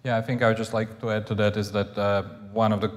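A man's voice: fairly low pitch, level tone, fast talker, long reverb tail. A man in his forties on a panel who speaks with frequent clipped false starts. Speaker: professor on panel. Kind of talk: panel discussion. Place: large auditorium stage. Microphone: lapel mic.

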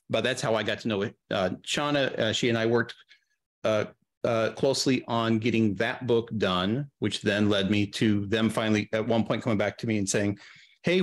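A middle-aged man chatting casually as an interview guest on a video call, thinking aloud in a halting way. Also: lowish voice. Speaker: guest, audio drama creator. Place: small room on a video call.

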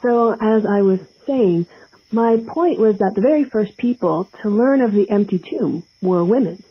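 A woman's voice: mid-range voice, lively intonation, normal speed, breathy edge. A woman playing an assertive feminist caller to a comedy podcast, insistent and corrective, over a phone line. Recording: dull, muffled call-in line.

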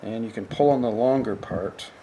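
A man's voice: mid-range voice, normal speed, noisy background. A man giving a plain, step-by-step how-to demonstration at a work table. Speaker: fishing tackle instructor.

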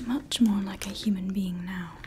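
A woman voicing a scheming female evil scientist who calls herself Mother, in a slow, soft, conspiratorial whisper for ASMR roleplay on a close microphone. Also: medium pitch, steady tone, noisy background, close to an everyday voice. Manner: slow, intimate, conspiratorial ranting. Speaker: ASMR creator.

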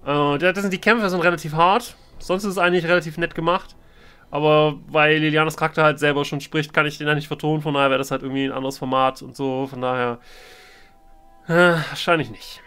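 Clear-voiced, higher-pitched man rambling casually. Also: fairly even intonation, a little fast, bright, forceful tone. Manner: rambling, hesitant, thinking aloud. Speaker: let's player, role-play gaming channel host. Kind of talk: casual let's play commentary. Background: game music.

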